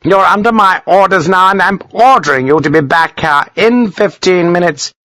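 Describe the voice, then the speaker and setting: A man's voice: sits high, lively intonation, measured pace, bright, forceful tone, dry recording, voice pushed up, full character voice. A man voicing a pompous, irascible colonel in an audiobook, barking imperious orders at subordinates. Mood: furious.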